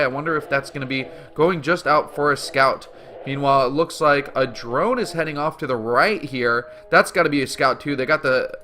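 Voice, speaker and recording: A man's voice: a little high, bright, forceful tone. A man giving upbeat, explanatory play-by-play commentary on a strategy game, with fillers and restarts, background music behind. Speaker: game caster. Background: background music at the start.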